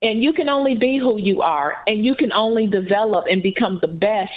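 A woman with a Southern US accent, co-hosting a podcast in an earnest, encouraging, unhurried manner. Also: mid-range voice. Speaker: podcast co-host. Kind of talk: casual podcast chat. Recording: phone-like narrow band.

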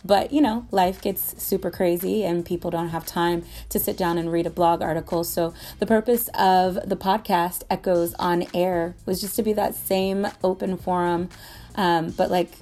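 A woman's voice: on the low side, steady tone, normal speed, dark tone. A woman hosting a podcast, opening the first episode in a casual, filler-heavy way. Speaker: podcast host. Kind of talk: podcast introduction.

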